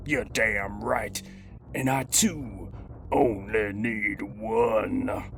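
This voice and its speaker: A man puts on a cocky cartoon crime boss with a menacing streak. He delivers a scripted review in theatrical street slang, taking his time with an unhurried pace. Music plays faintly behind him. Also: medium pitch, fairly bright tone. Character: cocky, menacing cartoon master criminal toy, slangy gangster. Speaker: host of a toy figure review. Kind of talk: scripted in-character review with radio-drama flair.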